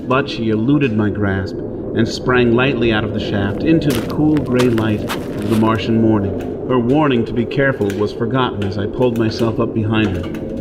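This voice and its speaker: An actor playing a lovestruck outlaw adventurer from Earth in a pulp radio drama, earnest and dramatic. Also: male voice, mid-range voice, heavy background noise, close to an everyday voice. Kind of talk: first-person pulp radio drama narration and dialogue.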